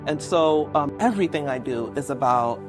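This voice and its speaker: A woman in her forties from New York, telling personal stories as an interview guest in an animated way, acting out remembered dialogue. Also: deep voice, normal speed, over music. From Brooklyn, New York, USA. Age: 40s. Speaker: interview guest, lawyer and law professor.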